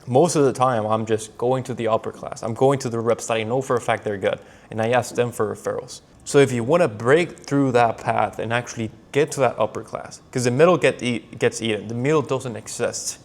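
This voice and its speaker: A man's voice: mid-range voice, a little fast, creaky, few pauses. A young man with a Mexican Spanish accent and Spanish as his first language, giving a blunt, motivational talk to his camera while traveling. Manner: blunt, pep-talk delivery, calling the listener man and bro. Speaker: sales coach. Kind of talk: motivational vlog monologue. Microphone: handheld camera filming himself.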